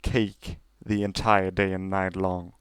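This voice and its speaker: A man's voice: deep voice, little pitch movement, slow pace, clean tone, dry recording. A man reading fiction aloud as a scripted, dramatic narration. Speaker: story reader.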